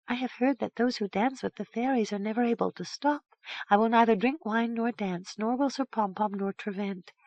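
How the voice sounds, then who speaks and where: A woman voicing a bold, impatient young fairy girl in a high, eager voice. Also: normal speed, a little mellow, no echo, close to an everyday voice. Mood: earnest.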